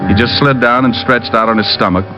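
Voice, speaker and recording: A man's voice: mid-range voice, steady tone, fairly quick, lightly acted. A man playing a weary, wisecracking private detective with an American accent, narrating and trading flippant lines in old radio drama style. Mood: wry narration. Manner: wry, flippant, sardonic. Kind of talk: 1940s-50s radio detective acting and narration. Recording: muffled old radio recording with music.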